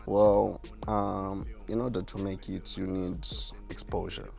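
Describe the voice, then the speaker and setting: A man with a deep voice, a self-assured artist answering interview questions. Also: flat delivery, slow, vocal fry, soft background music. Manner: self-assured, boastful about his skill. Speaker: rapper and artist, guest.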